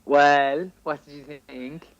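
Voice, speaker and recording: A man's voice: a little high, steady tone, takes it slowly, no echo, lo-fi sound. An Irish man in casual film-review chat as a podcast co-host, opinionated and sweary.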